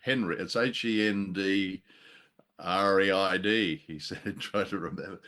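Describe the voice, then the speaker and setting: A man's voice: deep voice, a little slow, bright, forceful tone. A man co-hosting a show, chatting about a classic film in a hesitant, musing way with a playful, self-deprecating streak. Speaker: podcast co-host. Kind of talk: casual discussion of a classic film.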